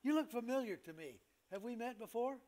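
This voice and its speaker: A man's voice: very high voice, animated, fairly quick, a little mellow. A man playing a genial, joking hospital patient in an amateur comic staged reading, with an easygoing, folksy manner.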